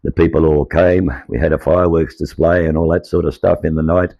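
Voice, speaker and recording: An older Australian man with a croaky, aged voice, telling his life story to camera in an earnest, rambling way. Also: rumbling low, fairly even intonation, fairly quick, mellow, dry recording. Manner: earnest, rambling, grows insistent. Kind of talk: personal monologue to camera.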